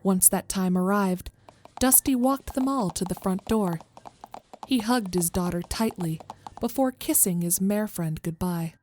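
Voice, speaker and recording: A woman's voice: mid-range voice, unhurried, a little mellow. A woman narrating a scripted horror story as its storyteller.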